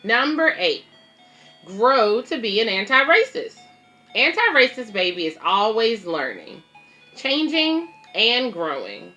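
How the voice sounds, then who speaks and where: A woman's voice: medium pitch, pushed and bright. A woman reading a picture book aloud as a babysitter, explaining it simply to children and parents with casual asides. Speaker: babysitter and children's story reader.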